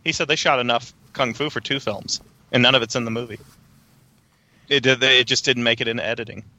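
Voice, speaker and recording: A man co-hosting a podcast, talking casually about movies in a relaxed, conversational way with frequent fillers. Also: mid-range voice, brisk, no room sound. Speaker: podcast co-host. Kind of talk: casual film discussion.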